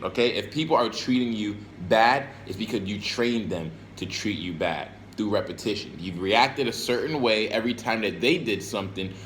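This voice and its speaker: American man, bright punchy voice, mid pitch, casual self-help vlog, streetwise and emphatic, repeated phrases, faint background noise. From Brooklyn and Florida, United States. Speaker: self-help video creator. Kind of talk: casual motivational vlog monologue to camera.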